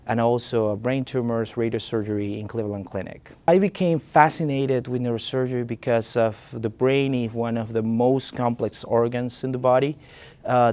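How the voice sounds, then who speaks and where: A man in his thirties from Ecuador, a neurosurgeon, speaking earnestly about his work with frequent pauses. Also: medium pitch, darkish tone.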